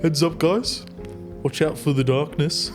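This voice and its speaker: A man playing a calm, reassuring fantasy adventurer who addresses his companions in a tabletop game. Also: fairly high pitch, measured pace, loud music behind the voice, lightly acted. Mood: warning. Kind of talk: in-character tabletop roleplay.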